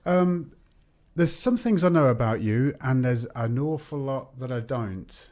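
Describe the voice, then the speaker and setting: Male podcast host, friendly, halting delivery, lots of restarts. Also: medium pitch, animated, a little mellow. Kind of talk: conversational podcast interview.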